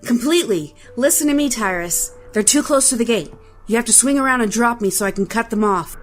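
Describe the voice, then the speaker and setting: A woman's voice: fairly high pitch, animated, brisk. Woman acting a terse, commanding warrior over faint music. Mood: grim, insistent. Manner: terse, urgent, giving orders. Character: bold, commanding dragon-riding warrior, grimly determined, foul-mouthed. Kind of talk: audio drama acting.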